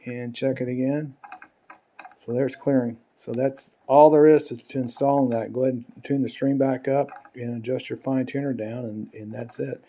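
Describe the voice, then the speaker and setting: A man with a mellow, relaxed voice at a middling pitch walks through a hands-on job. He talks casually and plainly, like an instructor taking it one step at a time. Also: normal speed. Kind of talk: hands-on how-to demonstration.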